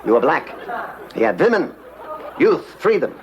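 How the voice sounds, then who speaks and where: A man's voice: a little high, sing-song, moderate pace, muffled recording, a faint crowd. An actor playing a bullying male police investigator with a heavy German accent, spitting out hard consonants. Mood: sly, mocking. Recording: old TV sitcom, audience laughter and hiss. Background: studio audience laughter.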